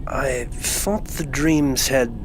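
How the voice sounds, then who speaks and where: Woman playing a low-voiced, measured professor guardian. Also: slight background noise, heavily acted. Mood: careful, concerned. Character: gentle, measured professor, guardian of an orphan girl. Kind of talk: audio drama acting.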